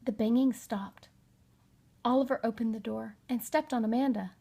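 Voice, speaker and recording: A woman's voice: a little high, steady tone, normal speed, gravelly, mellow, husky. A woman narrating a children's story from a script, as an audiobook reader.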